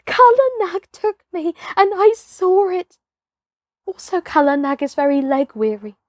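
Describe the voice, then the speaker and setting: A woman voicing an exhausted but excitable young boy elephant-driver in a storybook, earnest, proud and breathlessly emphatic. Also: extremely high pitch, a little slow, no room sound, clean studio sound, pitched above the performer's own voice, full character voice. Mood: earnest, excited.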